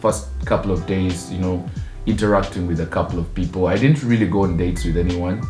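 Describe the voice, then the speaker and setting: Chatty Ugandan man, low voice. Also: brisk. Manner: relaxed, chatty, laughs often. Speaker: co-host of a couple's channel. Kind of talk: casual storytelling chat. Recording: echoey room with guitar music.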